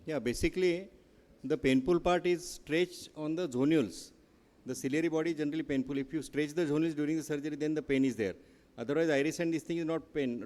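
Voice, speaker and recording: A man's voice: on the high side, a little mellow, in a large hall. A man, an eye surgeon explaining his technique earnestly on a conference panel. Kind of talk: panel discussion. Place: conference hall.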